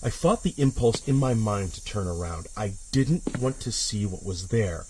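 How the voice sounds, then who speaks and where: A man's voice: on the low side, animated, background noise. A man narrating a horror story in the first person, with a dramatic, suspenseful delivery. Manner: measured, suspenseful delivery with hushed drops and intense swells.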